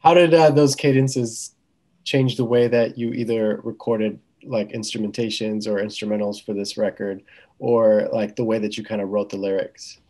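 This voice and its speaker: Deep-ish guy interviewer, rambly questions, lots of likes. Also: flat delivery, normal speed, darkish tone.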